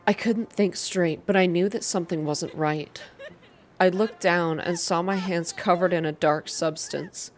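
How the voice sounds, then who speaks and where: Low-pitched woman, ASMR reader, noisy background. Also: measured pace. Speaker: video game reader and channel host. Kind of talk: ASMR narrated reading and casual updates to viewers.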